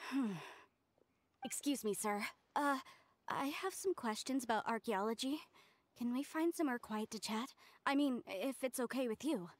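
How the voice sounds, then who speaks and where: Studious young-scholar type, acted by a woman: fairly high voice, courteous, careful game dialogue. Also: expressive, normal speed, close to an everyday voice. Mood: polite, discreet. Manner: polite, careful, explanatory. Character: polite, studious female researcher, thoughtful and tactful. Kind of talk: English game voice acting.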